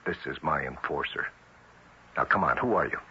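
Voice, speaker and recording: An actor playing a tough, suspicious federal agent with a brusque, streetwise way of questioning, in 1970s radio drama style. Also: male voice, extremely low pitch, moderate pace, pushed and bright, full character voice. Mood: commanding, menacing. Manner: brusque, interrogating, streetwise wisecracking. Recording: dull, noisy vintage radio recording.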